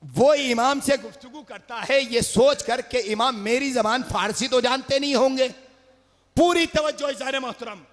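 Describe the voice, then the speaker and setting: Man, Urdu accent, majlis orator, high voice, shouting and chanting, long hall reverb. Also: moderate pace. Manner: rises to shouts and sung phrases, calls on the audience. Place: large religious gathering hall.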